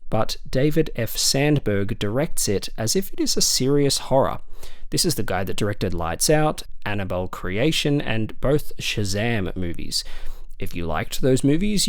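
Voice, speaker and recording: A man's voice: mid-range voice, expressive, normal speed, dry recording. A man delivering a semi-scripted video review with dry, wry humour and emphatic asides. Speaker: film reviewer.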